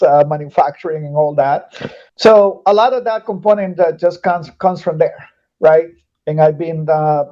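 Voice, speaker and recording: Guatemalan-born guy in his 40s, kinda high voice, warm and mellow, chatting with lots of uh's and restarts, dull lo-fi sound. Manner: thoughtful, frequent fillers and restarts. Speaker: podcast guest, metal recycling executive. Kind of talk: casual interview conversation.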